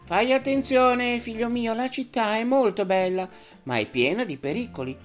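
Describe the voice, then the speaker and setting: A woman voicing an anxious, doting mother fox who pleads in a high, loud voice. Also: moderate pace, faint music behind, lightly acted. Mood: fussing.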